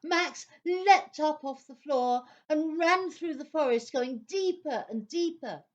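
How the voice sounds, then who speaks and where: Slow, theatrical female storyteller. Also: high-pitched, lively intonation, dull audio. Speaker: narrator. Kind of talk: scripted storybook narration.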